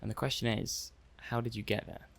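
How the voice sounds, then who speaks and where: A man playing a curious, methodical robot-testing technician in a soft-spoken ASMR roleplay, musing hesitantly as he thinks aloud. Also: medium pitch, fairly even intonation, brisk, gravelly. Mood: intrigued, musing. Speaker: roleplay performer.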